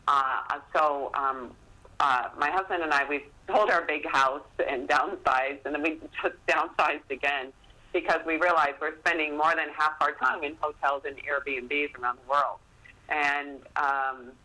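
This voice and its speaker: Bright, forceful middle-aged woman. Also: deep voice, poor audio. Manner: chatty, self-deprecating, animated retelling of exchanges. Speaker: podcast guest, author. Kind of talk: casual interview storytelling.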